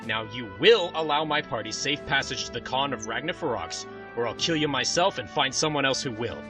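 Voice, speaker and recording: A man's voice: mid-range voice, lively intonation, fairly quick, recorded from a distance, over loud music, close to an everyday voice. Man playing a firm, noble young prince. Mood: stern. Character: commanding, earnest young warrior prince. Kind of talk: audio drama acting.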